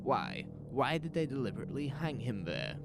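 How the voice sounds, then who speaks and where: A man's voice: medium pitch, wildly swooping pitch, moderate pace, gravelly, voice pushed up. A man playing a frightened, agitated survivor in a horror audio drama, speaking with urgent emphasis. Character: frightened, agitated man who escaped a takeover. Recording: music behind the voice.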